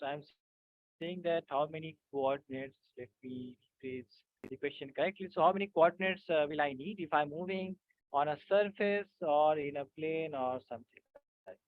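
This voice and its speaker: A man teaching physics in an online class, halting with many fillers and often checking that students follow. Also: fairly high pitch. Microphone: video call. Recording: tinny dry call audio.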